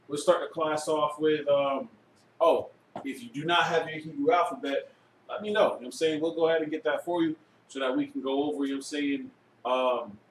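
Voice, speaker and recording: A man leading an informal live class like a preacher, conversational and exhorting, repeating phrases for emphasis and dropping in frequent tag phrases. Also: fairly high pitch. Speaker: religious teacher leading a language class. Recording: roomy echo, faint background noise.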